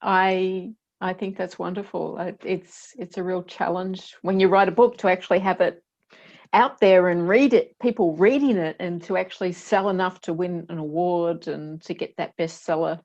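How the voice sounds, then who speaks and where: A woman's voice: fairly low pitch, steady tone, moderate pace, darkish tone, muffled recording. A woman hosting a podcast interview, encouraging her guest with affirmations and frequent restarts. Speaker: podcast host.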